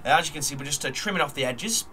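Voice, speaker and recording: A man's voice: on the high side, lively intonation, rapid-fire, pushed and bright, a little hiss or hum. A man with a nasal, blocked-up voice from a cold, chatting casually at his desk while narrating a model build, full of ums and uhs. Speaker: hobby model builder vlogger.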